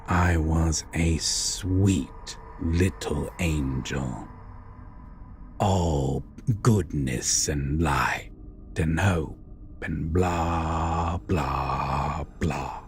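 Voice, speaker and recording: A man playing a bitter, sardonic fallen angel turned demon in a dramatic audio roleplay, speaking deliberately with drawn-out pauses. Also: very deep voice, a little hiss or hum, heavily acted.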